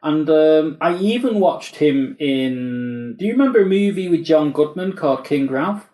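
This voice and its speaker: A man chatting casually about film and TV as a podcast host, with a rambling, halting delivery. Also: fairly high pitch, animated, dark tone. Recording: roomy, echoey voice recording.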